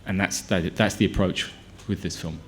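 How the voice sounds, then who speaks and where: A man's voice: low-pitched, fairly even intonation, moderate pace, a little hiss or hum. A film director answering at a press conference, with many restarts and fillers as he gathers his thoughts.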